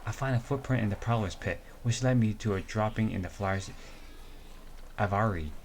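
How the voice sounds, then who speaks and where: A man lends his own low voice to a young woman raised in the wilds, narrating her trip to a zoo. The delivery stays plain and unpolished, like a casual fan reading of a comic. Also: normal speed, slight background noise, natural voice. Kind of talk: amateur dramatic reading of a comic.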